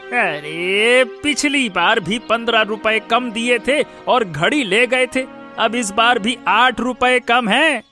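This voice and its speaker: A man's voice: very high-pitched, slow pace, pushed and bright, soft background music, heavily acted. A man playing a rude, greedy village shopkeeper in a cartoon moral story, curt and scolding. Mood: angry, insistent.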